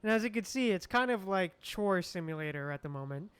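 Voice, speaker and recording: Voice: mid-range voice, animated, a little fast, fairly bright tone, clear, resonant tone. A streamer giving casual, chatty live commentary on a game and speaking directly to viewers. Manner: chatty, addresses viewers by name.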